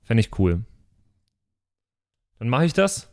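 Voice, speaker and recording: A man's voice: medium pitch, very animated, slow. A man chatting casually as a player on a tabletop role-playing show. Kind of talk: casual group chat.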